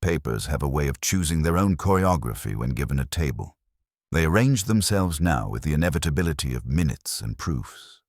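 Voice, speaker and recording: A British man reading literary mystery narration in a measured, formal, dryly wry manner. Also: extremely low pitch, very animated, a little mellow, no echo, clean studio sound. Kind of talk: literary audiobook-style scripted narration of a mystery story.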